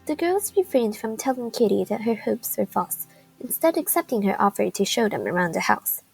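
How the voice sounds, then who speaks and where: A woman's voice: mid-range voice, very animated, normal speed, gravelly, slightly rough, soft background music, low-quality recording. A woman narrating a radio drama in a scripted storytelling style.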